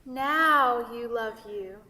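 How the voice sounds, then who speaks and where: A woman acts out a simple, loving soul on stage, speaking in short broken phrases. The voice is high and bright, drawn out slowly. A long hall echo surrounds it. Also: heavily acted. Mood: tender, insistent. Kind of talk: staged play reading. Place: theatre hall. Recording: echoey hall from far off.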